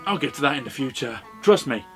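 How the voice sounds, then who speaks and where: Animated British man, sardonic reviewer. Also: medium pitch, quick pace, faint music behind. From Britain. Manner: opinionated, sardonic, sweary. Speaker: host and reviewer. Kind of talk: informal scripted video review commentary.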